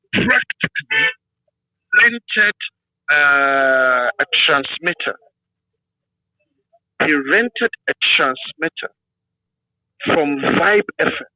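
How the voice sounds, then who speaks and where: A man with a Ghanaian accent speaks in a high voice as an interviewed broadcaster. He tells his story emphatically and checks in with the listener as he goes. The sound is dry and somewhat muffled, like a radio feed. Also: dry recording. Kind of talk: conversational interview reminiscence.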